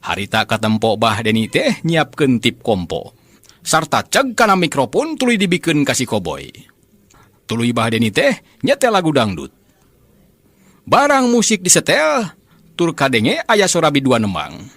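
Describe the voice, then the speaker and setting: A man with a Sundanese accent telling a comic folk tale in a lively, chuckling way, speaking straight to his listeners. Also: medium pitch, unhurried, dry recording. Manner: chuckles often while narrating, addresses listeners. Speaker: storyteller. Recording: noisy, hissy recording.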